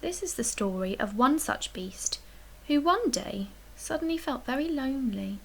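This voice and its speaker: A woman reading a children's picture book aloud as a storyteller, expressively, with dramatic emphasis and hushed, breathy dips. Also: high-pitched, animated, normal speed, low-quality recording. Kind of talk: expressive read-aloud bedtime story narration.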